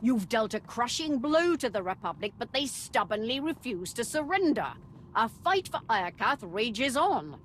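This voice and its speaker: Haughty warlike empress. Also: female voice, fairly high pitch, very animated, a little slow, fairly bright tone, a little hiss or hum. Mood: bloodthirsty, triumphant. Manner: haughty, formal, declamatory. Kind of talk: cinematic video game voice acting.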